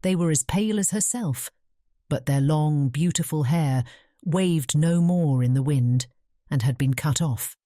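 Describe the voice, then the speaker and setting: A British woman narrates a classic storybook. Her voice sits low and is soft-edged and mellow, recorded very dry and close. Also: a little slow, no echo. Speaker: audiobook narrator.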